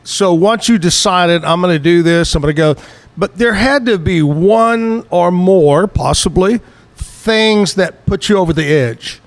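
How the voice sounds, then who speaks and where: High-voiced chatty host. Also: male voice, a little slow. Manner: chatty, laughs easily, jokey. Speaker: show host. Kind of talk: casual interview chat. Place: outdoor bar stage.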